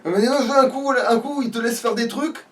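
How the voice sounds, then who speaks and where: A man commentates his own play in loose slang. He swears in exasperation. The sound is distant from the mic, with loud noise behind him. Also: very high voice, fairly quick. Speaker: let's player at the controls. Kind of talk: casual gaming commentary chat.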